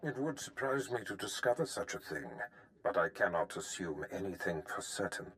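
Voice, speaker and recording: An actor playing a sinister, scheming Sith master in a video game, with a deliberate, grandiose and formal delivery. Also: male voice, deep voice, very animated, a bit bright, exaggerated voice, rough recording. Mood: grave. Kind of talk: theatrical video game villain acting.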